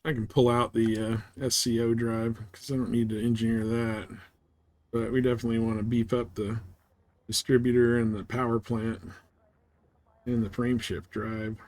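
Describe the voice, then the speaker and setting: A man's voice: mid-range voice, flat delivery, moderate pace, darkish tone. A man giving casual live commentary as he plays a game, thinking aloud as he goes. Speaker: game streamer.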